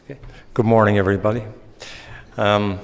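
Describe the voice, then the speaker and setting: A man from Ireland with an Irish accent, giving a conference presentation with many pauses and restarts. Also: lowish voice, steady tone, clean tone. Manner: hesitant, many ums and restarts. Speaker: conference speaker. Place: conference hall. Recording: hall reverb.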